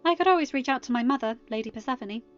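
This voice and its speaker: Storybook young lady woman reader, outspoken, composed, pointed, high voice over faint music. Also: lively intonation, racing, dull audio, natural voice. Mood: pointed, assertive. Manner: formal, pointed, emphatic.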